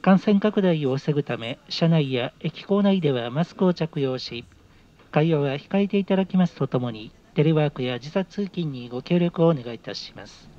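Higher-voiced man on a dull train PA. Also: expressive, normal speed, mellow. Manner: formal, polite. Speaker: train announcer. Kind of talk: scripted public notice. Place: train car, over public-address speakers. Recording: dull train public-address sound.

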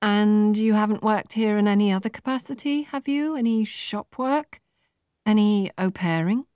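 Confident young female recruiter for an audiobook, bright-mannered, chirpy, condescending, pitched up, acted by a woman reader. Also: moderate pace, clear, resonant tone, dry recording, studio quality, lightly acted. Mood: probing. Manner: chirpy, polished, patronising.

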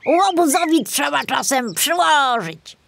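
A woman's voice: high voice, lively intonation, slow, creaky, fairly bright tone, slight background noise, voice pushed up, exaggerated voice. A woman voicing a cheeky small male rat detective in a storybook, brash and offhand.

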